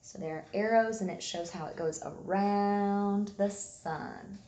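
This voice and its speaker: A woman teacher reading aloud and giving a simple lesson to young children, enunciating key words deliberately. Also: mid-range voice, dark tone, slight background noise. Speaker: early elementary school teacher. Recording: echoey room sound.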